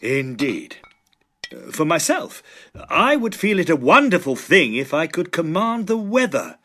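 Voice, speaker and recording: A man plays a courteous Victorian medical student in an audio drama. His fairly high, husky voice moves freely up and down as he speaks with mild hesitation and good sense. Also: big pitch swings, measured pace, exaggerated voice. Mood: dryly sensible. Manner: hesitant, courteous, gently admonishing. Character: polite, sensible young male Victorian medical student. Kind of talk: period audio drama acting.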